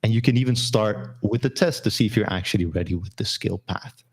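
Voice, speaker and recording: A man hosting a live interview stream over a video call, with upbeat, smooth patter. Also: fairly low pitch, a little fast. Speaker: host.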